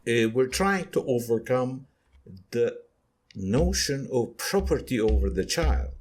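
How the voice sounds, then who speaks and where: Turkish-accented male guest, slow and hesitant, lively pitch. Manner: slow, hesitant with many fillers. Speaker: guest author. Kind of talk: podcast discussion.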